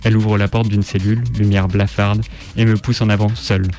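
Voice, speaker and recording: A man's voice: lowish voice, flat delivery, a little fast, a little mellow, faint music behind. A man narrating a dramatic story in the first person, as a reader.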